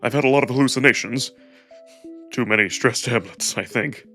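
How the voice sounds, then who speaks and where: A man voicing a huge alien wolf who is tender, anxious and lovestruck, speaking in a halting, earnest, emotional way in a visual novel reading. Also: bright, forceful tone, soft background music. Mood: sheepish. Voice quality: deep voice, shaken and breaking.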